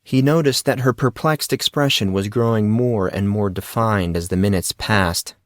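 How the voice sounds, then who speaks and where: A man narrating scripted fiction as an audiobook, in a measured, dramatic storytelling manner. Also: fairly low pitch, dry recording, clean studio sound.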